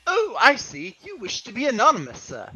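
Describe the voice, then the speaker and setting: A man playing a polite, earnest gentleman collecting for charity in a radio-style play reading, formal in manner, on a slightly dull microphone. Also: extremely high pitch, wildly swooping pitch, normal speed, husky, dry recording, heavily acted.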